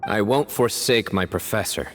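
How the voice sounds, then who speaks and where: An actor playing a stern young male desert warrior, calm, measured and resolute. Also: fairly low pitch, close to an everyday voice. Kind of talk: game cutscene voice acting. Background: faint game music.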